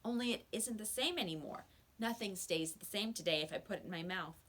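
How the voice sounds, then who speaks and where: A woman's voice: mid-range voice, brisk, fairly bright tone, natural voice. A woman voicing an anxious, pleading young schoolboy in a children's storybook, with a plaintive, protesting manner. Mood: earnest explaining. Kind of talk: children's storybook read-aloud.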